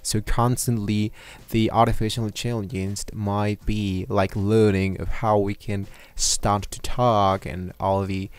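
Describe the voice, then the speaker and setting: A man walking viewers through software on his screen, talking loosely with many fillers and restarts. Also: lowish voice, level tone, measured pace, smooth, dry recording. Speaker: tutorial host. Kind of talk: screen-recorded software tutorial. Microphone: desktop microphone at a computer.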